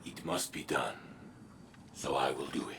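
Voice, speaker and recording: Very deep, slow, weary warrior. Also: male voice, fairly even intonation, gravelly, a bit bright, slight background noise, heavily acted. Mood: weary determination. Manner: grave, deliberate, halting. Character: weary, solemn, resolute male veteran warrior robot. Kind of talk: video game cutscene acting.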